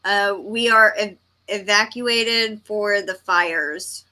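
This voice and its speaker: A woman's voice: medium pitch, takes it slowly, bright, forceful tone. A woman from Texas, hosting a livestream and casually reading comments aloud. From Texas, United States. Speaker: livestream host.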